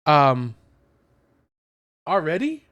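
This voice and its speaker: A man's voice: a little high, big pitch swings, very slow, a bit bright, no room sound, dull audio. A man doing casual let's play commentary, explaining things in a hesitant, rambling way into a close mic. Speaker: gaming content creator.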